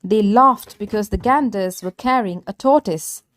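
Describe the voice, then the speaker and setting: A woman narrating a children's story as a read-aloud, with deliberate, simply phrased delivery. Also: medium pitch.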